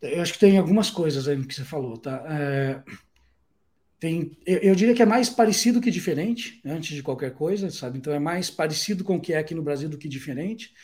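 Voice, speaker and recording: Brazilian guy, high voice, chatty and loose, lots of restarts, brazilian portuguese accent. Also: fairly quick. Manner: relaxed storytelling, many fillers and restarts, laughs easily. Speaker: guest, product designer. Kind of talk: casual conversational interview.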